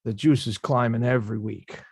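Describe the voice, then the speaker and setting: A man's voice: mid-range voice, steady tone, a little fast, a little mellow, slightly rough, no echo, clean studio sound. A man playing a laid-back, plainspoken boxer who collects debts, in a script table read. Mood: flat, pressing. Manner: blunt, plainspoken, understated.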